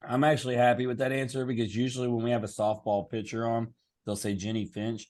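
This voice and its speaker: A man's voice: mid-range voice, level tone, fast, darkish tone. A middle-aged man co-hosting a podcast, joking easily with his guest and often restarting his sentences. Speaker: podcast co-host. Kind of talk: casual interview chat.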